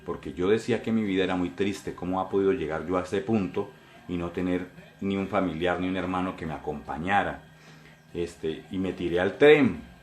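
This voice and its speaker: A man's voice: deep voice, normal speed, reverberant. Earnest Colombian pastor in his forties. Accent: Colombian Spanish. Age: 40s. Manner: earnest, repetitive, addressing listeners directly. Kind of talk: sermon testimony.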